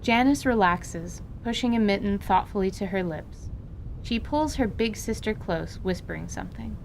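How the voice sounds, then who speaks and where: A woman's voice: mid-range voice, animated, normal speed, creaky, a little hiss or hum, rough recording. A woman reading scripted story narration as the narrator of an audio drama. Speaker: narrator and voice actor.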